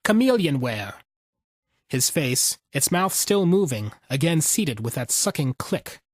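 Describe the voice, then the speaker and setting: A man's voice: on the high side, animated, unhurried, no room sound. A man reading scripted fiction as an audiobook narrator.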